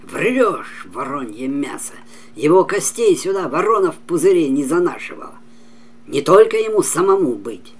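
A man's voice: fairly high pitch, very animated, moderate pace, pitched above the performer's own voice. An older man voicing a menacing, haughty dragon monster from a folk tale, scornful and declamatory. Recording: clearly noisy home recording.

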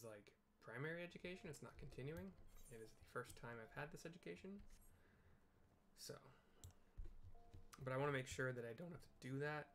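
Casual male game streamer over music. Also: medium pitch. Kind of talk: casual rambling gameplay commentary. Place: small room at home.

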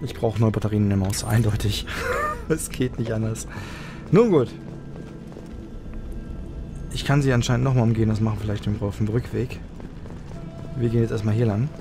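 A man's voice: fairly low pitch, normal speed, pauses often. A man giving casual let's play commentary, half muttering to himself as he plays. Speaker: let's player. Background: game music.

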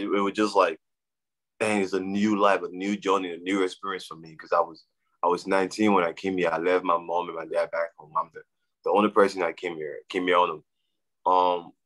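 A young man from Liberia with a Liberian accent, talking casually as a guest on a video call, halting and often repeating his words. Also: low-pitched, fairly even intonation, fairly bright tone, rough recording. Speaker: guest, soccer player. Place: room at home. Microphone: webcam on video call.

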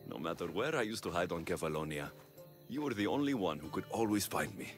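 An actor playing a wry, confident young Greek mercenary hero in a video game, with a dry, teasing delivery. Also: male voice, sits low, animated, fairly quick, faint music behind. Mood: teasing, fond. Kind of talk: video game cutscene dialogue.

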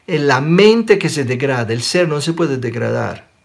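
A man in his forties from Sicily who speaks with an Italian accent, giving an animated spiritual talk in an online question-and-answer session and often checking in with listeners. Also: mid-range voice, unhurried, gravelly. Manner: animated, gestures a lot, checks in with listeners by asking "ok?". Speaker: spiritual teacher. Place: small room indoors. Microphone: webcam close up.

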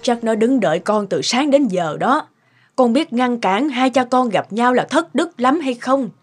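A woman playing a gentle, wise elderly mother in a cartoon, giving counsel. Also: a little high, expressive, measured pace, husky, soft background music. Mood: gently chiding. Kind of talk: cartoon melodrama dubbing.

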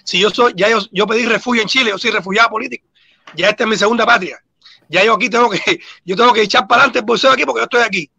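A Cuban boxing coach with a Cuban accent, talking earnestly and repeating himself as a guest on a video-call panel. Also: male voice, sits high, rapid-fire, creaky, sharp tone, slightly rough, no room sound, lo-fi sound. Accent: Cuban Spanish. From Cuba.

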